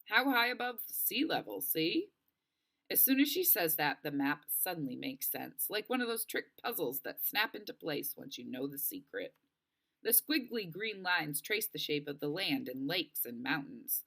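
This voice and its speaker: A woman narrating a children's novel aloud in an expressive storybook style, acting out the dialogue. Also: deep voice, normal speed, gravelly. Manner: animated, acts out dialogue.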